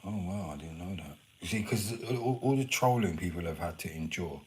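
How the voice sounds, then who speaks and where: Man, very deep voice, rambling stream chat, slight background hum. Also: expressive, fairly quick. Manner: rambling, chatty, swears casually. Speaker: livestreamer. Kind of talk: casual live stream chat.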